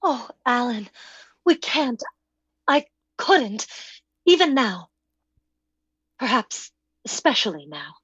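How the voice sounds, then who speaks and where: A woman playing a gentle, sweetly sure young woman, earnest, in an old-time radio drama style. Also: on the high side, sing-song, slow pace, pauses often, slightly rough, no room sound, highs cut off, exaggerated voice. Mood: sorrowful refusal.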